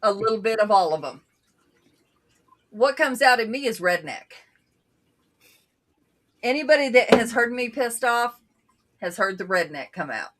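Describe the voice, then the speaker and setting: Brief: outspoken woman co-host, native Bulgarian speaker, slightly high voice, loose casual chat. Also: expressive, measured pace, pauses often, fairly bright tone. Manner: blunt, outspoken storyteller.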